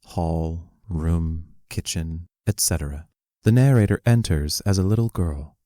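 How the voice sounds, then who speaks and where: A man reading the stage directions of a play aloud as scripted narration, plainly and deliberately. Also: deep voice, dark tone, no room sound, clean studio sound.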